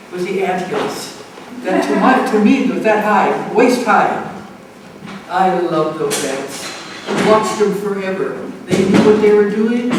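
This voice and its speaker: A woman in her nineties from the United States reminisces to an audience in a halting way, often repeating phrases. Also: mid-range voice, a little mellow. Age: 90s. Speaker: speaker at a family art show. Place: community center event room. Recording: echoey, noisy room, distant microphone.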